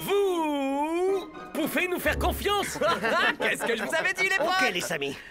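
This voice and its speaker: A man's voice: extremely high pitch, very animated, measured pace, heavily acted. An actor voicing a brash, cocky, mocking young male character in a French cartoon dub. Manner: taunting, exclamatory. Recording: loud music behind.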